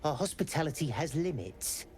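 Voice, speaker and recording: A man's voice: a little high, very animated, moderate pace, darkish tone, slight background noise. A man playing a cold, curt druid who turns away outsiders in a video game. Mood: cold dismissal. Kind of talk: dramatic video game acting.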